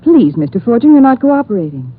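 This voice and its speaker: A woman's voice: on the high side, very animated, brisk, husky. A woman playing a playfully stern young nurse in a 1950s radio drama. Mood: teasing. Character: teasing, no-nonsense young female nurse. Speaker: registered nurse. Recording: tinny old radio recording.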